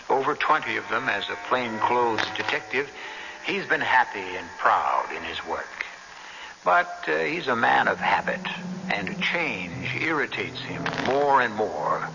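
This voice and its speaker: Theatrical man narrating with expressive swings. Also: medium pitch, vocal fry, background noise. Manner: measured, theatrical. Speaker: host and narrator. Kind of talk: 1970s radio mystery scripted narration. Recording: lo-fi old radio broadcast.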